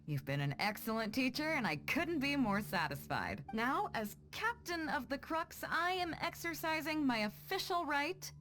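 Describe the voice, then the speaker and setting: A woman's voice: high voice, fairly bright tone, slight background noise. A young woman playing a bold, teasing, good-hearted female sea captain in a video game, speaking with confident, playful command. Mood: mock-official.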